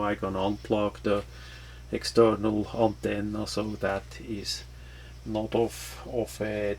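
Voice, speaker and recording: A low-voiced man walks through a product in a casual, unscripted way. He speaks slowly, often halting to find the next phrase. His intonation stays quite flat throughout. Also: a little hiss or hum. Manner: halting, non-native English phrasing. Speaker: product reviewer.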